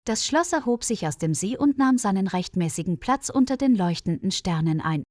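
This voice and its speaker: A woman's voice: mid-range voice, lively intonation, normal speed, darkish tone, no echo, studio quality. A woman storyteller reading a scripted fairy tale as narration.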